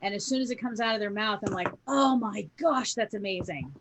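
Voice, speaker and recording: Guest: woman comic, mid-pitched, enthusiastic, hesitant starts, casual chat. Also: a little fast, noisy background. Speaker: comedian guest.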